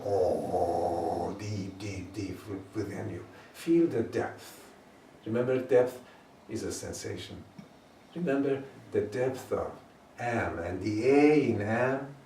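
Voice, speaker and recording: A man leading a guided meditation, slowly drawing out and chanting short phrases. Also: lowish voice, dark tone, slight background noise. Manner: slow, drawn-out chanting of phrases. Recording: echoey room, mic at a distance.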